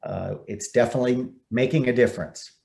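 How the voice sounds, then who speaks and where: An American man presents at a virtual community event as its host. His voice sits at a middle pitch, and he is speaking over a video call. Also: fairly quick, gravelly, darkish tone. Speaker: event host, news anchor.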